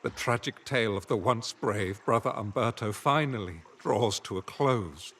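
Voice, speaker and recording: An actor playing a weary, honorable older man in a fantasy video game, speaking in a formal, solemn, measured way. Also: fairly low pitch, fairly bright tone, slight background noise. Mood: solemn, remorseful. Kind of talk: scripted video game dialogue.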